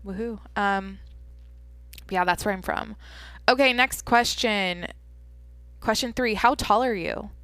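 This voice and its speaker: A woman's voice: medium pitch, slow pace, long pauses, a bit bright, clear, resonant tone, dry recording. Young woman podcast host, twenties, from the Pacific Northwest; rambly, candid, swears freely, close and dry sound. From Washington State, USA. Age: 20s. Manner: rambling, filler-heavy, candid and profane. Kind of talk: casual solo Q&A podcast.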